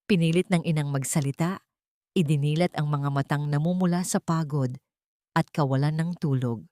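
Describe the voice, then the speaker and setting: A woman reads a dramatic love story as its narrator. Her voice sits fairly low for a woman. The sound is dry, with very little room. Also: normal speed, mellow, no echo.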